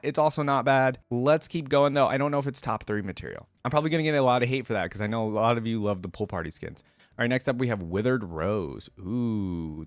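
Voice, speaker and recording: A man's voice: mid-range voice, no room sound. A man hosting a casual reaction video, commenting in slangy language and talking straight to his viewers. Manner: slangy, talks directly to viewers. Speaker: YouTube host.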